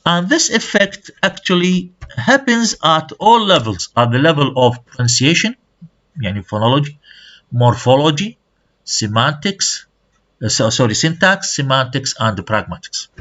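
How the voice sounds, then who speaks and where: Guy, lecturing in a college class, lots of pitch movement, muffled lo-fi sound. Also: lively intonation, normal speed, a bit bright. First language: Arabic. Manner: explains terms and checks with students. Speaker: university lecturer. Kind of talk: lecture, switching between English and Arabic. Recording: dull lo-fi recording.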